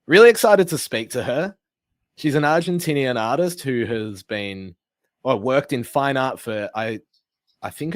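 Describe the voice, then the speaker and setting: A man's voice: mid-range voice, no room sound. A man hosting a conversational interview podcast.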